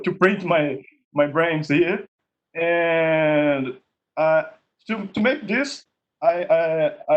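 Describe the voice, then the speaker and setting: Slow, halting Portuguese-accented man. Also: a little high, dull audio. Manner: halting, repeats words, ends points with "okay?". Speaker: contest winner presenting his project. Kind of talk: screen-share demo in an online meetup.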